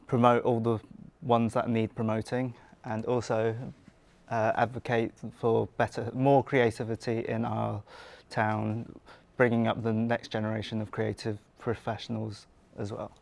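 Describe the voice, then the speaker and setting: A man's voice: medium pitch, creaky, darkish tone. A man hosting a casual talk, speaking earnestly and steadily with some fillers. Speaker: podcast host and community organizer. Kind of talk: casual podcast conversation.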